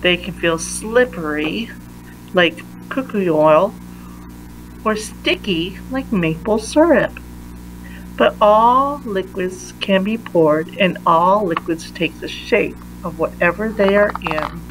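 A woman reading a children's science picture book aloud with deliberate, emphatic phrasing for young listeners. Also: medium pitch, slight background noise, rough recording. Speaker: read-aloud reader.

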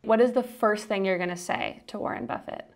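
A woman news editor asking brisk, lightly teasing interview questions from a studio desk. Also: medium pitch. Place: studio room with yellow backdrop.

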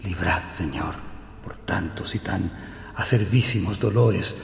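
Radio drama role: nervous, pious Chilean shopkeeper, low gravelly voice, long echo. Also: male voice, slow pace, exaggerated voice. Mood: fervent pleading. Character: anxious, devout male shopkeeper, absent-minded and pleading.

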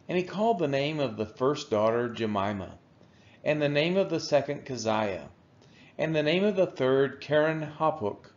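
Slow-reading man, slightly dull recording. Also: medium pitch, darkish tone. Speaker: scripture reader. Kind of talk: measured devotional scripture read-aloud.

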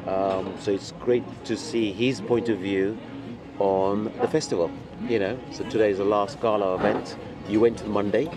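Low-voiced middle-aged man, chatting. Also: fairly even intonation, moderate pace. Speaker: host, cigar retailer. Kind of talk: casual lunch chat on camera. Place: outdoor restaurant table by stone wall. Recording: music behind the talk.

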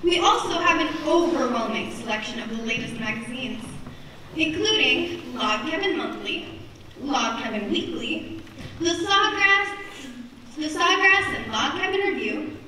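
Noisy echoey hall, woman acting supermarket announcer, very high voice, formal. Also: animated, unhurried, bright, forceful tone, exaggerated voice. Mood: promotional. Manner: formal, carefully enumerating. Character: chirpy supermarket announcer reading store promotions. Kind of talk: store announcement in a stage comedy. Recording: very echoey, noisy, distant theatre recording.

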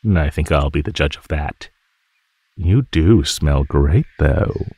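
A man voicing a teasing, menacing male naga in an ASMR roleplay, speaking in a mocking, condescending drawl with pauses. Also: rumbling low, a little mellow, breathy edge, no room sound, full character voice. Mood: bored, taunting. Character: teasing, menacing male naga predator toying with captive prey.

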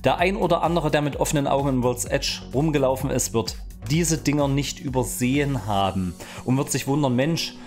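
A man's voice: medium pitch, brisk, few pauses, a little mellow. A man gives casual gaming news in a chatty, colloquial way, close on a studio microphone. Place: small home streaming room. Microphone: close studio microphone on arm. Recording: music under the voice. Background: background music.

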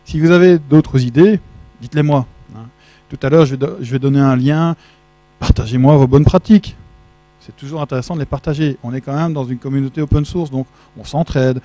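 A man's voice: medium pitch, brisk, mellow, dry recording. Chatty middle-aged man giving a tech talk. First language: French. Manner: chatty, jokey, asks the audience questions. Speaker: conference speaker. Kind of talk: casual tech conference talk. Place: large dark auditorium with projection screen. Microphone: handheld microphone.

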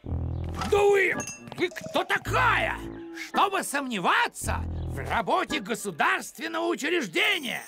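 Cartoon old postman, stubborn, indignant, gravelly, lively swooping delivery. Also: very high-pitched, slow, over music, exaggerated voice. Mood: indignant, proud. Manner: officious, indignant. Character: fussy, stubborn elderly village postman. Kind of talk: animated cartoon voice acting.

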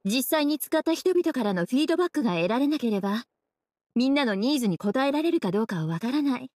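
A woman's voice: high-pitched, very animated, quick pace, a little mellow, dry recording. Sultry teasing witch librarian. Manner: languid, teasing, calls herself big sister. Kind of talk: Japanese game dub.

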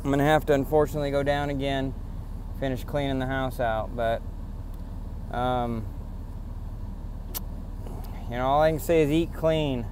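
A man's voice: mid-range voice, fairly even intonation, moderate pace, long pauses, darkish tone, slight background noise. A man vlogging while driving around rural farmland, talking in an indignant, rambling way and repeating himself. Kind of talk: casual rant to camera. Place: in a pickup truck on farm roads, then outdoors on rural land.

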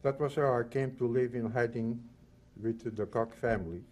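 A man in his eighties from the Netherlands and Belgium, reading a prepared personal testimony in measured, solemn short phrases. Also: mid-range voice, steady tone, darkish tone. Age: 80s. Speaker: Holocaust survivor giving testimony.

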